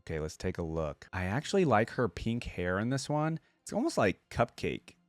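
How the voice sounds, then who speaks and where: A man's voice: fairly low pitch, sing-song, normal speed, dry recording, soft background music. A man hosting a reaction video with casual commentary, slangy and informal, thinking aloud as he goes.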